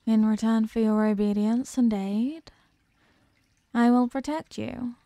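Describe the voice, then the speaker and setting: A woman plays an ancient female eldritch being in a horror audio roleplay. She is possessive and seductively menacing, looking down on mortals as tiny things. The voice is low-lit and mellow, deliberate in every word, and very close and dry, as if nothing stands between her and the listener. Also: steady tone, no room sound. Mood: possessive, grandiose. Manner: slow, deliberate, condescending, commanding. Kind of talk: scripted ASMR horror roleplay.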